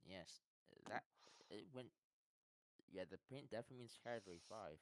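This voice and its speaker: A man's voice: fairly low pitch, moderate pace, no room sound, poor audio. A young man co-hosting a stream, laid-back and terse, answering with short "okay"s. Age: young adult. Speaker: co-host. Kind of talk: casual chat.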